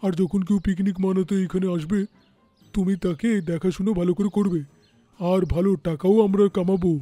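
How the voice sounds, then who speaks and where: A man acts a boastful cartoon businessman with a very high male voice and soft, mellow tone. He exclaims over background music. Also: fairly even intonation, brisk, no echo. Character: brash, easily startled businessman landowner.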